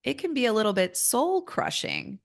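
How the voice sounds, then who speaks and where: A woman with an American accent speaking conversationally as an interviewer, in reflective, deliberate phrases. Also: fairly high pitch, wildly swooping pitch, vocal fry.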